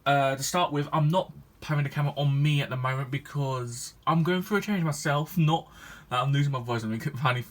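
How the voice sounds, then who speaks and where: Rambling young gaming vlogger. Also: male voice, fairly high pitch, a little fast, hardly any pauses. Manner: rambling, hesitant, many restarts and ums. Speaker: gaming YouTuber. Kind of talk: casual vlog chat to viewers.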